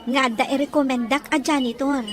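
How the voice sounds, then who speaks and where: Radio drama: actress as eager, respectful young office worker, high voice, music behind. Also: female voice, fairly even intonation, a little fast, close to an everyday voice. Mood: helpful, deferential.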